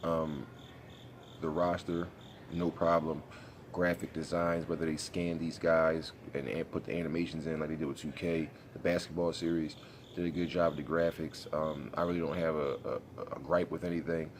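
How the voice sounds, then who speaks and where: Very deep-voiced congested young man. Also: level tone, normal speed, a little hiss or hum. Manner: laid-back, rambling, slangy. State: congested, sniffly. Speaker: gaming YouTuber. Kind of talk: casual vlog commentary.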